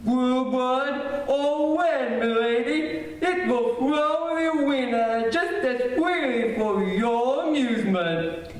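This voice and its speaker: Bold lovestruck prince for radio serial, played by a man: extremely high male pitch, slow, distant and echoey. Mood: bold flirtation. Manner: earnest, declamatory. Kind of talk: old-time radio serial acting. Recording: distant, very echoey hall, faint noise.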